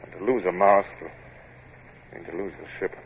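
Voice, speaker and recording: An actor playing a stern British naval captain in a radio drama, speaking in a clipped, formal, commanding way, with measured narration. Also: male voice, low-pitched, fairly even intonation. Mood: tense. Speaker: lead actor and first-person narrator. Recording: muffled, noisy vintage radio recording.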